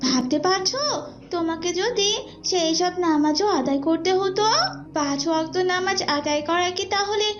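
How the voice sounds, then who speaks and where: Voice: very high-pitched, normal speed, faint music behind. A performer voicing a devout young older sister who explains and instructs in an Islamic moral cartoon. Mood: earnest admonishing. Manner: preachy, explanatory. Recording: dull lo-fi audio.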